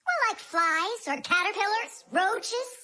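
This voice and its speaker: A woman voicing a bossy, quick-witted little girl creature in a cartoon, brisk and confident. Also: very high-pitched, animated, pushed and bright, exaggerated voice. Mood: scheming. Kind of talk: animated cartoon voice acting.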